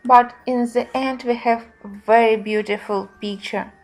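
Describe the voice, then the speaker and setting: A woman giving a casual review narration in simple, slightly halting non-native phrasing. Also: fairly high pitch, steady tone, darkish tone, soft background music. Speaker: colouring book reviewer.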